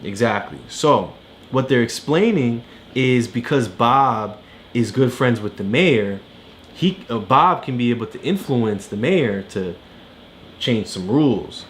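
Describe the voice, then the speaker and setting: A man tutoring a child, casually explaining a storybook in simple, halting steps. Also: medium pitch, lively intonation, slight background noise.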